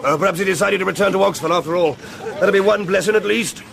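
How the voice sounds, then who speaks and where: An actor playing a haughty, impatient Victorian lord with an upper-class English accent, curt and pompous, in period radio drama. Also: male voice, high-pitched, fast, creaky, a crowd in the background. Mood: dismissive.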